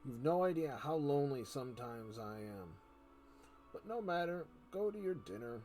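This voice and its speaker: A man's voice: medium pitch, expressive, moderate pace, darkish tone, soft background music, close to an everyday voice. Man playing a cunning, coaxing old uncle. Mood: wheedling. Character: cunning, creepy old uncle, eager experimenter, falsely genial. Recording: noticeable background noise.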